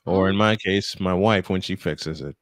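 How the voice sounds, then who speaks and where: A man's voice: low-pitched, normal speed, dry recording, clean studio sound. An American man doing live baseball play-by-play mixed with casual banter. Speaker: play-by-play commentator.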